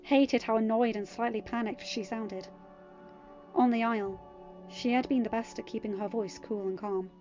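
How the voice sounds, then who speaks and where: A woman's voice: on the high side, fairly even intonation, moderate pace, a little mellow, highs cut off, faint music behind. A woman reading scripted fiction as an audiobook narrator.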